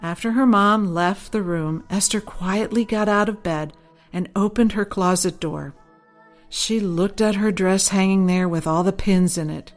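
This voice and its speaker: A woman's voice: mid-range voice, measured pace, faint music behind. A woman reads her own children's story as scripted audiobook narration.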